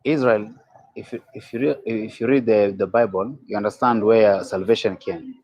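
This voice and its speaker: A man from Kenya calling into a debate, speaking haltingly, repeating his words and talking over the host. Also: fairly low pitch, steady tone, darkish tone, no echo, highs cut off. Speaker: caller. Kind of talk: religious argument in a call-in debate.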